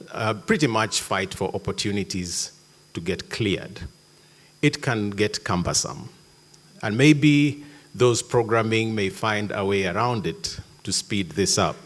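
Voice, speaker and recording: A man giving a conference talk in a hall, measured with sudden emphatic jumps. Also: mid-range voice. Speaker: conference speaker.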